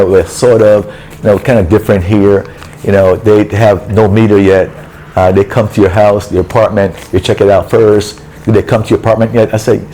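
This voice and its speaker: A man's voice: fairly low pitch, a little hiss or hum, rough recording. A man venting in a casual storytelling rant, acting out quoted back-and-forth with exasperation. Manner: exasperated, animated retelling with quoted dialogue. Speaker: travel vlogger. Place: apartment building in a city.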